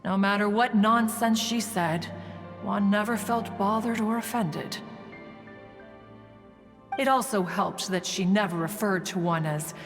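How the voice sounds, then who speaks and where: A woman's voice: medium pitch, a little slow, pauses often, natural voice. A woman playing a proud, stately immortal adeptus in a video game, speaking in formal archaic phrasing and calling herself "one". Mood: fond reminiscence. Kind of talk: scripted game dialogue, storytelling. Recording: reverberant hall sound, music behind.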